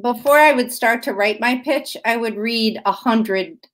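A woman's voice: a little high, measured pace, a bit bright. Chatty woman teaching on a video call. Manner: chatty, many fillers and restarts. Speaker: writing instructor. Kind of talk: online workshop teaching. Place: room at home. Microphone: webcam video call.

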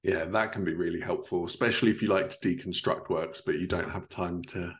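A man's voice: low voice, fairly even intonation, fairly quick. A man in his thirties hosting a casual interview, with an informal, affirming way of talking. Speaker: podcast host. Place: living room at home, indoors, video call.

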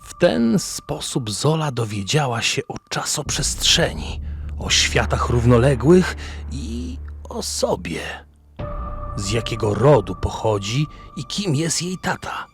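A man narrating a scripted radio drama as a storyteller, in measured phrases. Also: medium pitch, very animated, creaky, soft background music.

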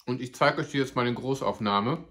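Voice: mid-range voice, brisk, a bit bright. A food vlogger giving a casual, upbeat snack review to camera, chatty and playful, joking about their own pronunciation. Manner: chatty and upbeat, addresses viewers as "ihr Lieben".

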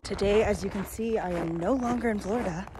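A young woman chats brightly into a phone held at arm's length, excitable and casual like a travel vlogger. Her pitch sits in a middle range. Music plays clearly underneath her voice. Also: fairly quick, poor audio. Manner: cheerful, chatty, excitable. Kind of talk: casual travel vlog narration. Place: outdoors in snow, in a car, hotel room. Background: background music.

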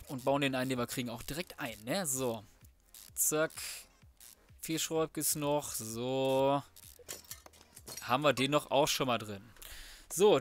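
A man's voice: mid-range voice, a little hiss or hum. A man giving casual, slangy gameplay commentary as a let's player, thinking aloud to himself. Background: background music.

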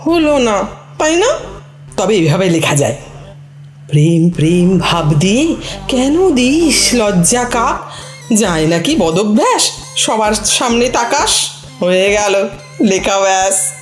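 Actress doing sly chatty woman in a comic audio play, slow and super expressive, music behind. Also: medium pitch, reverberant. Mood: mock poetic. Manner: animated, teasing, breaks into mock recitation. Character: sly, chatty woman coaching her friend to fake being a poet. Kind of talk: scripted comic audio play, Bengali recitation theatre.

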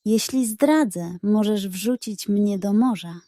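A woman's voice: mid-range voice, slow, dark tone, dry recording, studio quality, natural voice. A woman voicing a tall, strong, hot-headed beast warrior who speaks solemnly in a children's bedtime story. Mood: vowing. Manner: serious, solemn.

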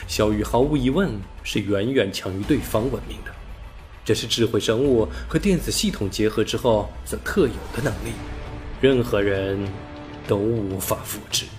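Low-voiced male audiobook narrator. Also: normal speed, darkish tone, faint music behind. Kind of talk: scripted sci-fi novel narration.

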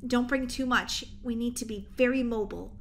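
A woman's voice: a little high, steady tone, brisk, a bit bright, slight background noise, voice pushed down. A woman voicing a practical, worried mother in a children's roleplay story, with a firm, motherly delivery.

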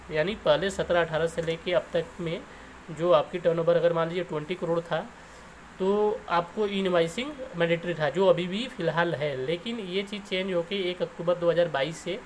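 A man's voice: fairly high pitch, slight background noise, rough recording. A man giving an informal explainer, going over points step by step. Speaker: YouTube explainer host.

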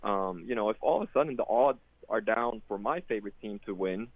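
A man's voice: fairly low pitch, expressive, fairly quick, dry recording. Man from Sweden, interview guest, hesitant rambling talk, thin phone-like sound. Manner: rambling, many fillers and restarts. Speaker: guest, sports data product manager. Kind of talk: casual interview over a call. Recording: tinny phone-like line, dry.

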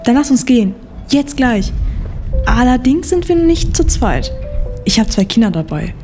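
A woman's voice: a little high, big pitch swings, moderate pace, warm tone, soft background music. A woman playing a determined, trusting young woman in an audio drama. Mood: urgent. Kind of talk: amateur radio play acting.

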